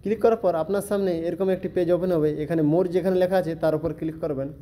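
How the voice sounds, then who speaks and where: Fast, very dark-voiced man giving instructions. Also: high-pitched, steady tone. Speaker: tutorial presenter. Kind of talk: step-by-step spoken app tutorial.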